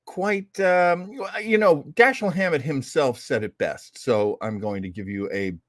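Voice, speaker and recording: A man hosting an old-time radio show casually, in a rambling, hesitant, animated way. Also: medium pitch, a little slow, no room sound, studio quality. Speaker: show host.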